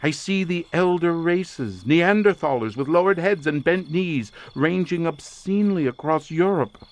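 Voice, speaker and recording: Male researcher, horror audio drama: entranced, theatrical, incantatory, higher-pitched and highly animated. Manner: theatrical, incantatory, halting with repeated words. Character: obsessed male researcher in a drugged, visionary trance.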